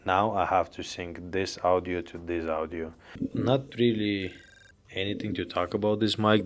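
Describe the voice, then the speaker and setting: A young man with a very low, deep voice talks in an even, barely rising tone. His delivery is loose and chatty, full of restarts and plain phrasing, like an unscripted gear review vlog. He is recorded up close on a handheld reporter mic in a small office room. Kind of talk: casual product review vlog. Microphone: handheld reporter mic and lavalier, on-camera framing.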